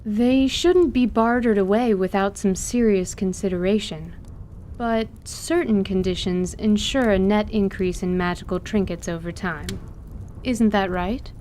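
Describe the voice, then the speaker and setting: A woman's voice: mid-range voice, unhurried, a little mellow, slight background noise, close to an everyday voice. A woman playing a bookish, guarded wizard who speaks precisely and formally in an audio drama. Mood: scholarly. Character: bookish, guarded female wizard who over-explains magic.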